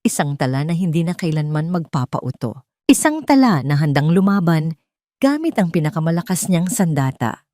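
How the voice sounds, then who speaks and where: A woman with a Filipino accent narrating a dramatic first-person story in an expressive, scripted storytelling manner. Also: low-pitched, moderate pace, dry recording, clean studio sound.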